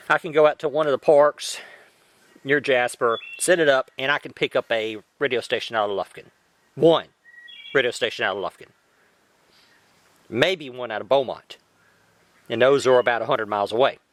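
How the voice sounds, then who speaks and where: A man's voice: mid-range voice, a bit bright. A man giving a casual product review in a plain-spoken, emphatic way, repeating phrases for stress.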